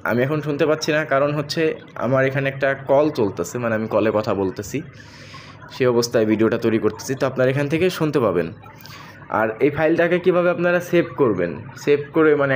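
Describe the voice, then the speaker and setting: A man with a Bangladeshi accent narrating a step-by-step app tutorial, speaking directly to viewers and repeating each step. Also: medium pitch. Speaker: tech tutorial host. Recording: faint background noise.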